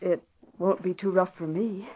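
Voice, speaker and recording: A woman's voice: fairly low pitch, moderate pace, slightly rough. An actress playing a wry, wistful woman office secretary with ambitions, knowing in manner, in 1940s radio drama style. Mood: pleading, hopeful. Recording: muffled vintage radio broadcast.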